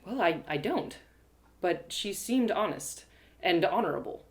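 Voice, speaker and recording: A woman's voice: on the low side, animated, unhurried. A woman playing an earnest, wholesome young heroine learning to be a warrior in a fantasy audio drama. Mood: nervous, determined. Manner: earnest, quaint exclamations, hesitant when nervous.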